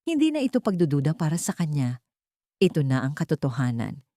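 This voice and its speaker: A woman's voice: sits low, sing-song, a little fast, warm tone, no echo, studio quality. A woman reading a scripted story as a narrator, in a dramatic storytelling style. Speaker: narrator of audio love stories.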